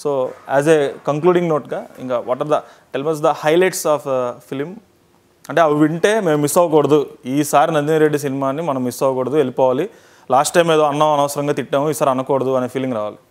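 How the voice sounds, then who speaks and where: A man's voice: on the high side, steady tone, brisk, a little mellow. A talkative, encouraging man with a Telugu accent hosting a casual interview, switching between two languages. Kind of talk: casual promotional film interview, mixing Telugu and English.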